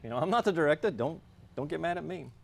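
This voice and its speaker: A man's voice: on the high side, animated, fast talker, gravelly, slight background noise. A man playing an easygoing 1950s husband with a dry, joking manner in a staged play reading. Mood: wry, easygoing. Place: children's theatre stage.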